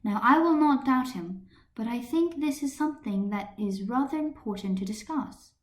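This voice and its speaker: A woman's voice: fairly high pitch, animated, moderate pace, lightly acted. A woman voicing a firm, orderly female schoolteacher with an instructive manner, in an amateur story reading. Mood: explaining.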